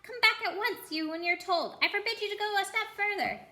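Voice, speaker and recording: A woman's voice: very high voice, brisk, bright, forceful tone, reverberant, pitched above the performer's own voice. A woman voicing a trembling, bossy old uncle in a storybook, giving fearful orders. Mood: frightened, bossy.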